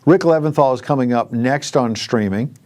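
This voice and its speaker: A man's voice: medium pitch, normal speed, crowd noise. A man hosting a news programme, speaking in a semi-scripted broadcast presenter style.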